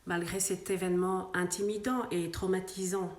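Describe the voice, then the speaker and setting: A woman's voice: lowish voice, steady tone, moderate pace, roomy sound. A woman whose first language is Swahili, hosting an earnest inspirational vlog and switching between English and French. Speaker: vlog host. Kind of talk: inspirational bilingual monologue.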